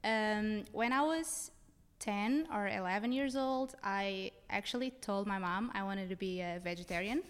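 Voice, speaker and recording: Clear-voiced Portuguese woman in a reverberant room. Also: mid-range voice, measured pace. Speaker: podcast guest. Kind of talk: casual conversational storytelling. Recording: reverberant room with long echo.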